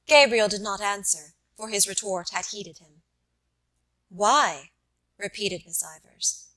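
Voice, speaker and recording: Female audiobook narrator, bright tone, measured classic-fiction reading. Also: medium pitch, low-quality recording. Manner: measured literary reading, voicing dialogue lightly. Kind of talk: scripted narration of a classic story.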